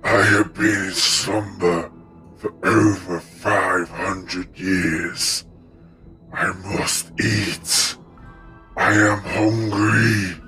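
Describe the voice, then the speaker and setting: A very low-voiced, menacing plant monster in an audio drama. It is ancient, hungry and contemptuous, drawing out its pompous words very slowly over prominent music. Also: male voice, fairly even intonation. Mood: scornful, hungry. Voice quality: deep, menacing. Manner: slow, pompous, theatrical villain delivery. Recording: music behind the voice.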